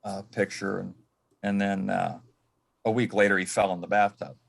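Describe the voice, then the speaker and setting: An American man from Michigan reminiscing as a panel guest in a halting, reflective way, often repeating words. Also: sits low, little pitch movement, gravelly. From Michigan, United States. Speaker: panel guest, former assistant to an entertainer.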